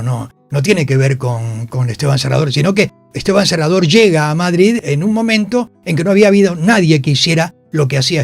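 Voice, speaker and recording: A man's voice: on the high side, creaky, no room sound. A man, a theatre actor and director, talks in a radio interview, telling anecdotes emphatically and repeating phrases. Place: radio studio.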